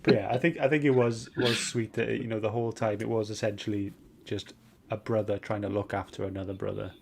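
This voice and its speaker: A man's voice: on the low side, fairly even intonation, brisk, vocal fry, darkish tone. A man hosting a casual, chatty book club discussion, speaking informally and moving things along. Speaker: podcast host. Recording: background noise behind the voice.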